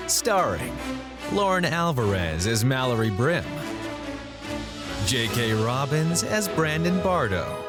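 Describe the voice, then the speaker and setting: A man reading the show's opening credits in a measured announcer style, with music playing behind him. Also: medium pitch.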